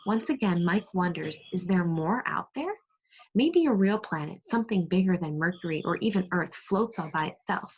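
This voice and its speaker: A woman teaching second grade over a video call, reading aloud, giving clear instructions and prompting pupils with questions. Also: on the low side, lively intonation, moderate pace. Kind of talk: interactive read-aloud lesson on video call. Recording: dull, muffled video call audio.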